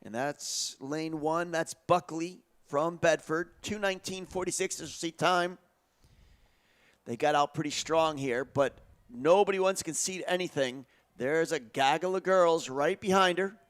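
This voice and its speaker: Live track commentator: man with a higher-set voice, conversational delivery that builds with the race. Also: measured pace.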